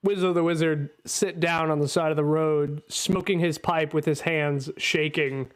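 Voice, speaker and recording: A man running a tabletop roleplaying game as dungeon master, animated and quick to voice the characters' reactions. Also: a little high, clean tone. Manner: animated, voicing NPC reactions.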